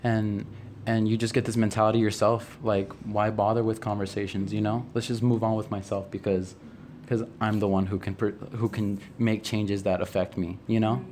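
A man's voice: fairly low pitch, little pitch movement, normal speed, a little mellow. Teenage male, level intonation, conversational explainer with frequent check-ins, background noise. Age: teens. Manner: checks in often with "right?" and "you know?". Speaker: high school theater student, podcast guest. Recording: clear background noise, unpolished room recording.

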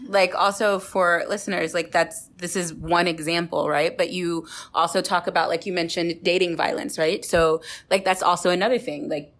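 Lower-pitched woman in conversational podcast discussion. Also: fairly even intonation, moderate pace, few pauses, a bit bright. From Texas. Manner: reflective, rephrases and self-corrects as she goes. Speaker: podcast host.

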